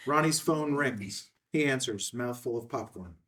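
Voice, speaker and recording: A man's voice: mid-range voice, animated, measured pace, fairly bright tone, recorded from a distance. Male reader for stage directions, middle register, recorded a bit away from the mic. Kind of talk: scripted narration of screenplay directions.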